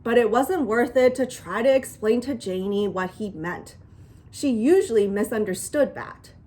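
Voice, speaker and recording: A woman reading a children's book aloud in an expressive storytelling style. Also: a little high, moderate pace. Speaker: storybook reader.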